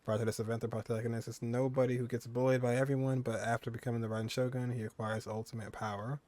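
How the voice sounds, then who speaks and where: A man's voice: mid-range voice, level tone, fast, darkish tone, no room sound. A man streaming a game, giving casual, offhand commentary and reading on-screen text. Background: game music.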